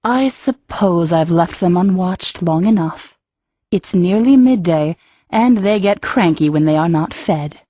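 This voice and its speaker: Woman doing a cool regal empress, mellow husky voice, formal and dry. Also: lowish voice, expressive, no room sound. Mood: wry, regal. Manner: formal, measured, dryly ironic.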